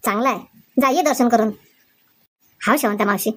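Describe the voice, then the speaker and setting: A woman's voice: fairly high pitch, a little fast, slightly rough. A woman voicing a bustling, chatty village mother-in-law in a comedy cartoon, with a Varhadi Marathi accent. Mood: chatty, insistent. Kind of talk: exaggerated cartoon comedy dialogue.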